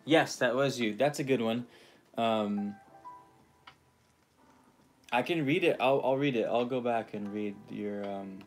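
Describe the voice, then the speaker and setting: Man from california, livestream host, laid-back rambling delivery, frequent ums, mid-pitched voice. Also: measured pace, long pauses, soft background music. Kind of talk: casual livestream chat reading viewer comments.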